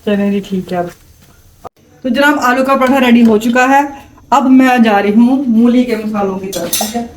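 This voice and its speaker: A woman's voice: on the high side, moderate pace. A woman with a Pakistani accent, chatting with viewers and explaining each step as she cooks at home. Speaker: home cook, vlog regular. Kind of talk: casual cooking demonstration. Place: home kitchen. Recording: roomy, from a distance, faint background hum.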